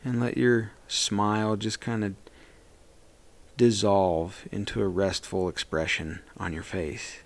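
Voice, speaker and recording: A man leading a guided meditation as a therapist, speaking in a gentle, soothing and reassuring way. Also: lowish voice, flat delivery, takes it slowly, creaky.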